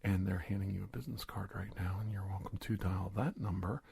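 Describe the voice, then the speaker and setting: A man playing an earnest, hesitant secret-agent doctor in a soft-spoken ASMR roleplay, halting, confiding and gently coaxing. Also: low voice, steady tone, gravelly, husky, no echo, exaggerated voice. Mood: reassuring, secretive.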